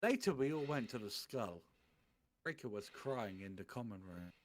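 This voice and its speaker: Animated man giving a comic reading. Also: medium pitch. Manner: sweary, halting over misspelt words. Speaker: streamer reading fan fiction aloud. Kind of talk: comic dramatic reading with banter.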